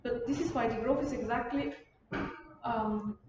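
A young woman from Bulgaria giving an informal lecture in a halting, rephrasing, digressive way. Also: a little high, fairly even intonation. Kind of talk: informal lecture and discussion of questions. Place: university lecture room. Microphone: far camera. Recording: distant mic, muffled, echoey room, loud noise.

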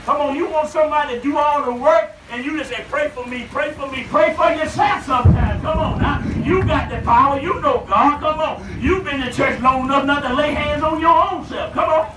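Noisy far off phone video of a southern guy preaching hard at a tent revival, really high voice. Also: fairly quick, few pauses. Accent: Southern American. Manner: exhorting, shouting, repeated short interjections to the congregation. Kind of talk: fervent revival sermon. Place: outdoor revival tent at night, before a seated audience. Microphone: handheld mic, filmed from the audience. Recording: distant phone recording, echoey and noisy.

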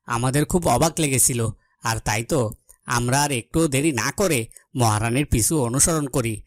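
A man's voice: medium pitch, dry recording, natural voice. A man playing an earnest, loyal palace guard in a fairy-tale cartoon, speaking deferentially. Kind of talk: cartoon voice acting.

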